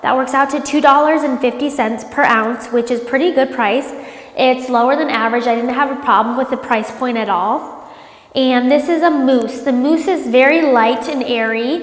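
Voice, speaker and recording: A woman's voice: high voice, normal speed. Congested woman in an echoey room. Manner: chatty, many ums, upbeat. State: congested from a cold. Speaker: product reviewer. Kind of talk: casual product review vlog. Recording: echoey room with long reverb.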